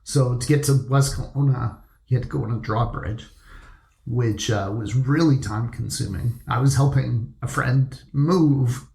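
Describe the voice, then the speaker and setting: A man's voice: mid-range voice, vocal fry, darkish tone, reverberant. A man hosting an interview, chatting casually and asking follow-up questions. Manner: conversational, asks follow-up questions. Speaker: interview host, real estate agent.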